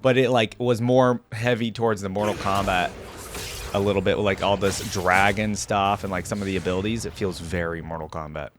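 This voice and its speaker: A man giving casual, slangy, enthusiastic gaming reaction commentary, thinking out loud as he goes. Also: fairly low pitch, steady tone, brisk. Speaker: reaction video host. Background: game music.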